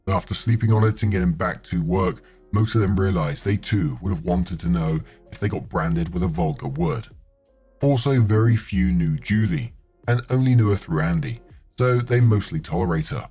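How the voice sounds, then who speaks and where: A man's voice: deep voice, moderate pace, dark tone, slightly rough. A man reading forum posts and comments aloud in a conversational storytelling style. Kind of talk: scripted narration. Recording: faint music underneath.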